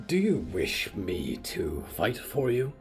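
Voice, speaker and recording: A man voicing a calm demon hotel manager who speaks slowly, in deliberate, formal phrases. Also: sits low, faint music behind, heavily acted. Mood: measured, probing. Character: calm, deep-voiced demon hotel manager, low and measured.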